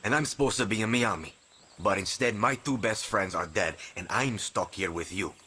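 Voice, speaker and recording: Low-pitched, wry rebel recruit. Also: male voice, moderate pace, sharp tone. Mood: exasperated. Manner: sardonic, frank. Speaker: video game protagonist. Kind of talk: video game voice acting. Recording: noticeable ambient noise behind.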